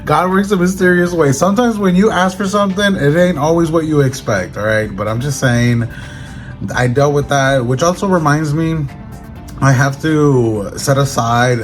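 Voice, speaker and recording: A man vlogging in a casual, rambling, joking way, his voice a little raspy and tired as he gets over an illness. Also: mid-range voice, a little slow. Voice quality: slightly raspy. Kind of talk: casual vlog chat. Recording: background music.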